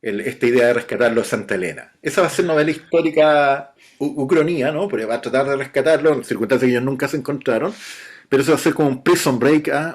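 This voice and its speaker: A middle-aged Chilean man talks about his work in an easygoing interview. He speaks at a medium pitch, loosely and conversationally. He often restarts his sentences and stops to think. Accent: Chilean Spanish. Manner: relaxed, chatty, with frequent restarts and light laughs. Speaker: novelist being interviewed. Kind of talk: casual interview talk.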